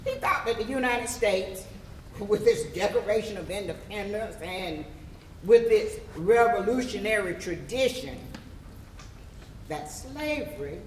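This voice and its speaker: A woman reading scripted theatrical narration on stage in a measured, emphatic way. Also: mid-range voice, animated, darkish tone, slight background noise. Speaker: stage reader. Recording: echoey room, distant mic, audience responses.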